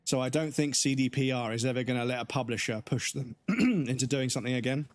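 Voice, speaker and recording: A man in his thirties chatting casually to his live-stream chat while gaming. He is rambling and profane, and he is hoarse, with his voice giving out and frequent throat clearing. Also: mid-range voice, fast talker, no echo. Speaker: gaming livestreamer.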